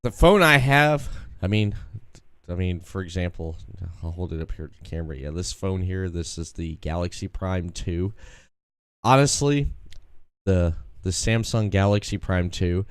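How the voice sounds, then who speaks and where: Low-voiced man in his late thirties, rambling. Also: normal speed, clean tone, no echo, studio quality. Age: 30s. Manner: rambling, repeats phrases. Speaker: podcast host. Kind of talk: casual chat.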